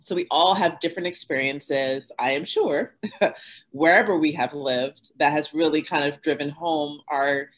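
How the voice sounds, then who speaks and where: A woman's voice: sits low, moderate pace. A woman hosting a casual panel conversation, asking open questions with affirming asides and fillers. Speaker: host.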